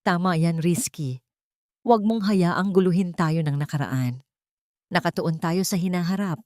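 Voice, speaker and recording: A woman's voice: on the low side, unhurried, a little mellow, dry recording, studio quality, lightly acted. Woman voicing anxious, scheming wealthy matriarch. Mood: controlling. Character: Doña Isabel, anxious scheming wealthy older mother.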